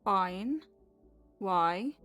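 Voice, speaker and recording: An actress playing an earnest, awkward young clinic doctor in an audio drama, speaking hesitantly and naturally with self-corrections. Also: female voice, medium pitch, lively intonation, drawn-out words, a bit bright, soft background music. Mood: awkward, apologetic. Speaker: doctor dictating patient letters.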